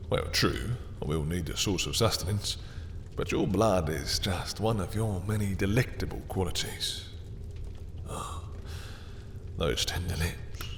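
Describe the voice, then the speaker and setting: A man's voice: low-pitched, long reverb tail, a little hiss or hum. A man playing a dominant, obsessive vampire in an intimate roleplay audio drama, silky and mocking, with theatrical courtesy as he lingers over words. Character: dominant, obsessive male vampire taunting a captured hunter.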